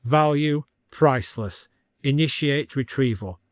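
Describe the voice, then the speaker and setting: A man's voice: medium pitch, unhurried, no echo, studio quality, natural voice. A man voicing a cold wicker monster that speaks in clipped, robotic, official commands. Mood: cold, menacing. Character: cold, mechanical wicker man liquidator creature.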